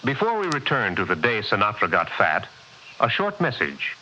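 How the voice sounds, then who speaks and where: A man's voice: on the low side, wildly swooping pitch, moderate pace, over music. A man working as a radio announcer, reading dramatic scripted introductions to a radio play. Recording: muffled, noisy old radio recording.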